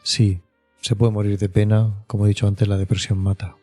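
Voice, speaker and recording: A man's voice: lowish voice, little pitch movement, a little fast, mellow, faint music behind. A man explaining as an expert guest in an interview, thinking aloud hesitantly and checking with question tags. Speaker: mental health expert guest.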